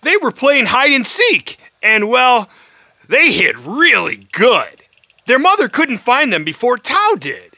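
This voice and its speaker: Comic talking dog, husky, slow-paced, goofy and timid, children's cartoon. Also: male voice, very high-pitched, wildly swooping pitch, sharp tone, no echo, exaggerated voice. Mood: explaining.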